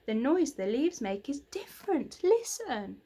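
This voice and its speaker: A woman voicing an excitable, wondering young country girl in a storybook, exclaiming eagerly. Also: sits high, measured pace, pitched above the performer's own voice, full character voice.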